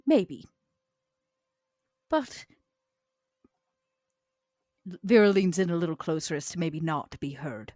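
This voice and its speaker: A woman playing an animated, proud vampire enforcer. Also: lowish voice, a little slow, no room sound. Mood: conspiratorial, coaxing. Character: Vera, proud, shrewd, long-lived female vampire enforcer. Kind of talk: improvised tabletop roleplay.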